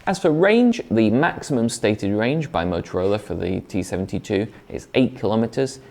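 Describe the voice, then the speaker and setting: A man's voice: fairly low pitch, expressive, normal speed, creaky, a little mellow. A man presenting a product review in a conversational, explanatory way, partly scripted.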